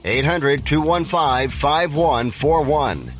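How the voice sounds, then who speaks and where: A man reading a radio ad in a brisk pitchman manner. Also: animated, faint music behind. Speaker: ad announcer. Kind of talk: radio ad read.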